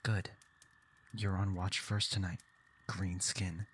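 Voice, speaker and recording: A man's voice: deep voice, flat delivery, slow, fairly bright tone, slight background noise. A man playing a taunting, bossy bandit in an audio drama, with a sneering, intimidating manner. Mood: menacing, taunting. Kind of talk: audio roleplay drama acting.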